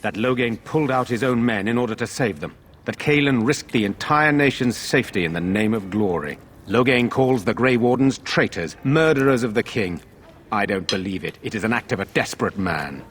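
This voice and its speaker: A gracious but burdened lord of middle years from a fantasy game. He speaks in a fairly low voice with formal, measured earnestness, over slight background noise. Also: animated, fairly bright tone. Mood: grave, urgent. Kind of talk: fantasy video game acting.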